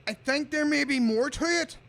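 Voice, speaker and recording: Man as a high-voiced, deferential army lieutenant. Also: moderate pace, creaky, fairly bright tone, full character voice. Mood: worried, respectful. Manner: deferential, earnest. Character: cautious, respectful British army lieutenant, male. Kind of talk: radio play acting. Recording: noisy, with vehicle noise.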